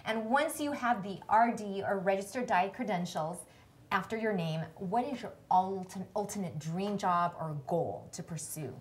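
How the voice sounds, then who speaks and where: A woman's voice: mid-range voice, normal speed. A woman hosting a TV nutrition show, introducing students with encouraging prompts in a studio kitchen. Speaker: host, nutrition professor. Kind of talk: TV interview host.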